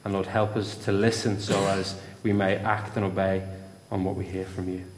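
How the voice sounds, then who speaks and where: A young man preaching and telling a story in a church, with a loud voice. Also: deep voice, level tone, normal speed. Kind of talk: sermon with story. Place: church hall. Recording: roomy church with long reverb.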